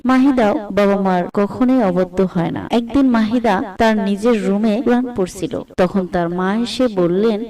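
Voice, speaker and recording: A woman's voice: mid-range voice, moderate pace, few pauses, dark tone, recorded from a distance. A woman reading scripted narration for a moral story.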